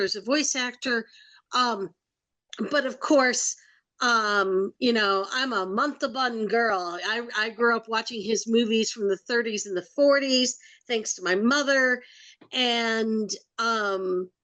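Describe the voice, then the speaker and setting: A woman's voice: fairly high pitch, a little slow, fairly bright tone. A woman co-hosting a podcast, chatting casually with frequent fillers. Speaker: podcast co-host. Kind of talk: casual chat.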